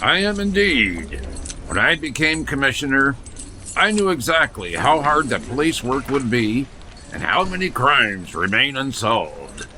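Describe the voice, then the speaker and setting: A man playing a firm, principled police commissioner in an audio drama, speaking with gruff authority. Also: mid-range voice, very animated, a little slow, crowd noise. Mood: firm, resolute. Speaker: voice actor. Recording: faint background noise.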